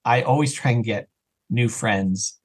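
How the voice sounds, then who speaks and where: A man talking as a podcast guest in a casual, enthusiastic and animated way, slipping into imagined remarks as an audio drama creator. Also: mid-range voice, unhurried, breathy edge. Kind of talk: casual enthusiastic interview chat.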